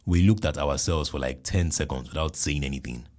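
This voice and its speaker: A man with a Nigerian accent, a podcast host telling a comic personal story in an animated, jokey way with exclamations. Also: rumbling low, a little fast.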